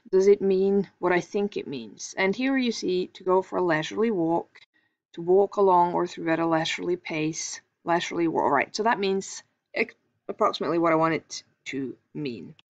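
Low-voiced Norwegian woman teaching. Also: gravelly, highs cut off. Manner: calm, explanatory, thinking aloud with fillers. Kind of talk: screen-recorded lesson.

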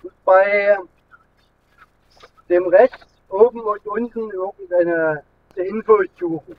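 A man's voice: high-pitched, slow. A middle-aged man from Germany, chatting casually and rambling along as he vlogs from the driver's seat. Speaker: vlogger. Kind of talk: casual vlog chat while driving. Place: inside a moving car with air conditioning running. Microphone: camera mounted in the car. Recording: thin phone-like sound, road noise.

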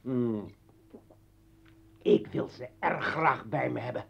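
A man's voice: mid-range voice, takes it slowly, vocal fry. An actor playing a bitter, armed revolutionary poet and father in a radio play, taunting and commanding. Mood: firm, insistent. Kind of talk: Dutch radio play acting. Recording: dull old radio recording.

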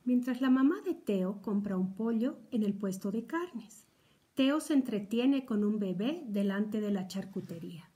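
Older female storybook narrator, mid-pitched, soft mellow tone. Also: lively intonation, measured pace. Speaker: elementary school teacher reading aloud. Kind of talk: children's storybook reading.

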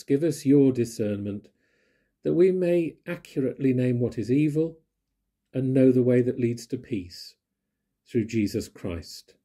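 A man reading a prayer aloud solemnly, in measured phrases. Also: mid-range voice, warm tone, breathy edge. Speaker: prayer reader.

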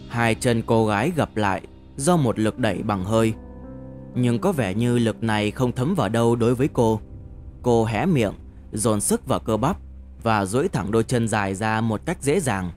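Male narrator, low voice, measured scripted audiobook reading, music behind. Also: animated, a little mellow. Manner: measured, deliberate storytelling.

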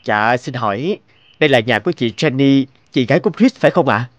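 Male actor for a romantic young boyfriend in animated drama: sincere, tender, courteous, mid-range, close dry sound. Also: mid-range voice, brisk, no echo, muffled recording, natural voice. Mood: polite, hopeful. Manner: earnest, tender, polite. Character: devoted romantic young boyfriend proposing marriage.

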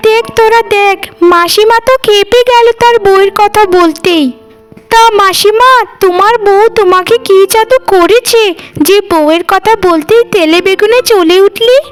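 A woman voicing a mocking, gossipy young village housewife in a cartoon, taunting in a sing-song way. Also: extremely high pitch. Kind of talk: cartoon voice acting.

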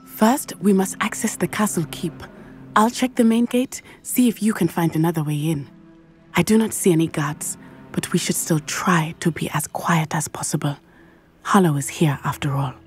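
A woman playing an earnest, brave teenage schoolgirl witch in a video game, speaking in measured, formal phrases. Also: on the low side, natural voice. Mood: cautious, determined. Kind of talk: video game cutscene acting. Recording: music behind the voice.

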